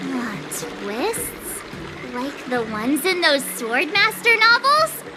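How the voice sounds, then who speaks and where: A woman's voice: sits high, very animated, slow, loud music behind the voice, exaggerated voice. A woman voicing a bubbly, eager teenage girl sword apprentice in a video game, with a perky, earnest, theatrical delivery. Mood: chatty, curious.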